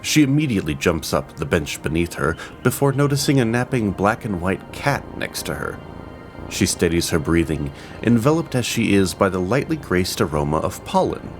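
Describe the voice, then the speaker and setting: A male storyteller narrating a fantasy audio drama. His voice is deep, and his pitch rises and falls widely as he builds dramatic pauses. Soft music runs faintly under the narration. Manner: expressive, dramatic pacing.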